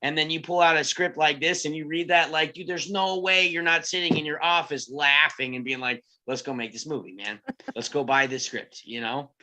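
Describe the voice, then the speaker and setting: Man, sharp bright forceful voice, slightly high pitch, slangy casual chat. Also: steady tone, normal speed. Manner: slangy, says "dude" and "man" often. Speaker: cast member of a table read.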